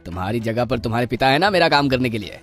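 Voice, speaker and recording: A man's voice: mid-range voice, lively intonation, fast, a bit bright, soft background music, natural voice. A man playing a greedy village master in a cartoon story, speaking in a dramatic, emphatic way. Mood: scornful, defensive. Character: greedy, cruel male village master, self-pitying when cornered. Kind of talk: Hindi cartoon story voice acting.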